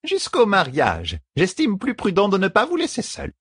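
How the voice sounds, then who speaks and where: Man putting on a shrill, bossy old woman. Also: wildly swooping pitch, normal speed, a bit bright, breathy edge, no echo, studio quality, exaggerated voice. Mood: stern, bossy. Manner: imperious scolding. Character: stern, bossy old woman servant, scolding and stubborn.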